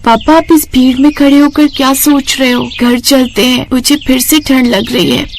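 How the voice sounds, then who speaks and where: A woman's voice: high-pitched, fairly even intonation, moderate pace, a little hiss or hum. A woman playing a sweet, eager young daughter in a children's story.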